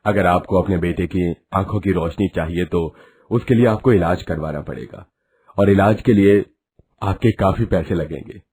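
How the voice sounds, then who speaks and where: A man voicing a grave, middle-aged male doctor in an animated story, speaking slowly and solemnly. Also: low-pitched, husky, voice pushed down.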